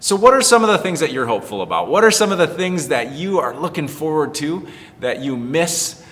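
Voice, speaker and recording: A man's voice: on the high side, big pitch swings, fairly quick, a bit bright. A man speaking as a pastor in a casual children's storytime, explaining things simply. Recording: reverberant, echoing room.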